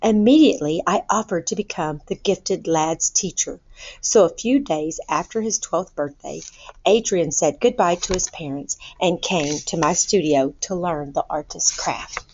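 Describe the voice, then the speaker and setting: A woman reading a children's story aloud in an expressive, deliberate storytelling manner. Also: lowish voice, a little hiss or hum. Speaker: storybook reader. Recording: boxy roomy sound, dull lo-fi.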